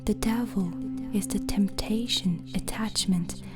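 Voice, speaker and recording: A woman playing a gentle, hospitable witch in an ASMR roleplay, speaking in a breathy, soothing whisper. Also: mid-range voice, lightly acted. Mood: calm, instructive. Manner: slow, deliberate, soothing. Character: gentle, hospitable witch hosting a guest overnight. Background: music.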